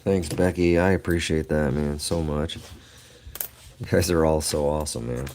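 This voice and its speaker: A man's voice: rumbling low, steady tone, normal speed, noisy background. A middle-aged man with allergies, chatting casually and rambling to viewers on his livestream, laughing often. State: allergies, voice lower than usual. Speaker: livestream host. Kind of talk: casual live chat with viewers.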